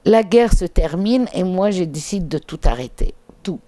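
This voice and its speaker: A middle-aged woman, Finnish her first language, speaking as an interview guest, reflective and halting with many fillers. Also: lowish voice, expressive, dark tone, no room sound. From England. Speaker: interview guest, documentary filmmaker.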